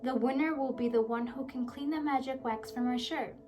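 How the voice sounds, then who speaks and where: A woman's voice: high voice, fairly even intonation, brisk, darkish tone, faint music behind, close to an everyday voice. A woman reading an earnest storybook prince. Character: young prince, earnest and hopeful.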